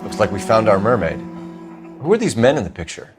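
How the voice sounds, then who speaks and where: Detective lead: low, measured, courteous but probing, with music underneath. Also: male voice, sing-song. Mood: observant. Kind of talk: dramatic video game acting.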